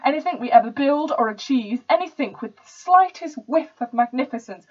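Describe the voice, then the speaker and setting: A woman's voice: high voice, expressive, a little fast, darkish tone, far from the mic. A woman playing an arrogant posh young English club member in a sneering, indignant stage monologue. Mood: bitter outrage. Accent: posh English, put on. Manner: sneering, indignant, sweary ranting. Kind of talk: dramatic stage monologue reading. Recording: dull, lo-fi home recording.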